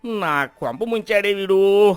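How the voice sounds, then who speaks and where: A man's voice: high voice, animated, takes it slowly, smooth, no room sound. A man voicing a greedy, two-faced village shopkeeper in a moral story, oily and exaggerated. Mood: panicked, scheming.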